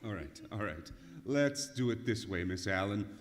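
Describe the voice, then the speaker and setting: An actor playing a polite, fussy, flustered department store manager in an old-time radio comedy. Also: male voice, fairly low pitch, animated, normal speed, natural voice. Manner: hesitant, throat-clearing, patronizing politeness. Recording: echoey hall, live stage performance.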